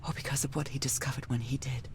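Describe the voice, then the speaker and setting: Low-voiced pensive explorer over rumbling noise. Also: female voice, steady tone, fast. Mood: troubled. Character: thoughtful, worried woman explorer searching for her husband. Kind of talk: video game voice acting, reflective monologue.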